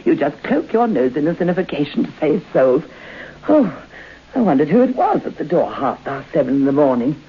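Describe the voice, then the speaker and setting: A woman's voice: deep voice, big pitch swings, moderate pace. An actress playing a blunt, gossipy old landlady who chatters and nags in an old-time radio drama. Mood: scolding, huffing. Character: blunt, gossipy old landlady, grumbling but deferential to the priest. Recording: muffled, hissy old radio broadcast.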